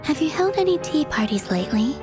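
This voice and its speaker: Ancient moon goddess for a game: soft, high, composed and quietly weary, music behind. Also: female voice, very animated, fairly quick. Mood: gently probing. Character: serene, weary, centuries-old moon goddess, quietly resolute. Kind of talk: video game voice acting.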